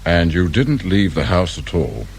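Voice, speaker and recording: Deep-voiced, shrewd British police inspector. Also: male voice, sing-song, measured pace, vocal fry, slight background noise, exaggerated voice. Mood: probing, measured. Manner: probing, brusque, sardonic. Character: shrewd, dry, irritable male police detective inspector. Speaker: actor in a radio drama. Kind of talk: British radio crime drama acting.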